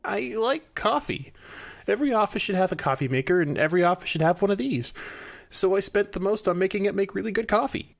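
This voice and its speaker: A man's voice: high voice, expressive, a little fast, creaky. A man playing a flustered, earnest inventor with a hesitant, stammering manner in a comic detective audio drama. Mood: earnest, proud. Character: flustered, earnest male inventor seeking help.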